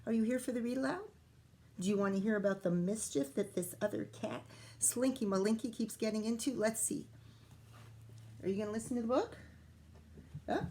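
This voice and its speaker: A woman teacher reading a picture book aloud to young children in an expressive, sing-song way, with coaxing asides and questions. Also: fairly high pitch, fairly quick, pauses often. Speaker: primary school teacher reading aloud to pupils.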